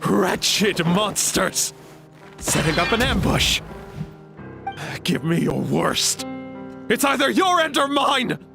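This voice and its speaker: A man's voice: high voice, sing-song, slow, vocal fry, pauses often, music in the background, heavily acted. An actor playing a devoted, battle-haunted young male warrior in a video game. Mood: enraged, desperate. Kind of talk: scripted video game voice acting.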